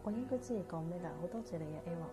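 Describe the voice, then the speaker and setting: Woman acting hard-working aggrieved mum, lowish voice, radio drama, music clearly behind her. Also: very fast, natural voice. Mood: dawning realisation.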